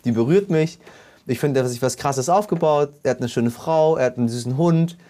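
A man hosting a casual interview, bantering and teasing playfully and quick to interject with questions. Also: mid-range voice, dark tone. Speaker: interviewer, host.

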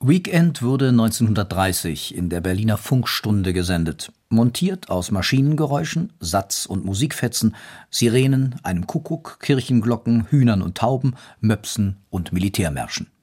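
A man's voice: fairly low pitch, lively intonation, moderate pace, a little mellow, breathy edge. A man reading scripted narration as a documentary narrator.

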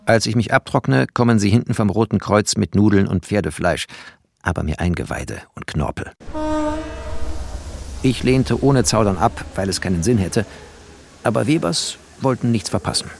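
An actor playing a bookish, wry narrator, speaking in clipped poetic phrases in a literary radio play. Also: male voice, fairly low pitch, animated, normal speed, vocal fry. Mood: lyrical, intimate. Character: bookish, wry, lyrical male narrator, a resettler.

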